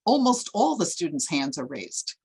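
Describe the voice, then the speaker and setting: A woman's voice: sits low, big pitch swings, moderate pace, creaky, a little mellow, husky. A woman narrating stage directions for a screenplay table read in a scripted reading style.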